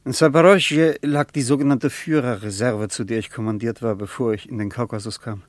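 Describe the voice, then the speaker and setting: An actor playing a reflective German traveller who once served as an officer, speaking hesitantly. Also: male voice, medium pitch, fairly quick, no room sound, natural voice. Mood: wistful. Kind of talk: 1950s radio play acting.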